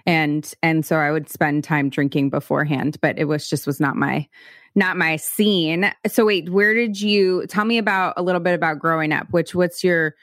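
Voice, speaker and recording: A woman's voice: low voice, fairly even intonation, normal speed, a bit bright, dry recording, studio quality. A woman hosting a casual interview, chatty and encouraging, with frequent "like" fillers. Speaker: podcast host.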